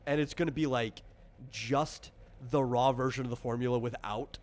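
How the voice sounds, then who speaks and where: A man's voice: medium pitch, fairly even intonation, normal speed. A man in the role of game master, casually explaining tabletop game rules in a rambling, conversational way with lots of asides.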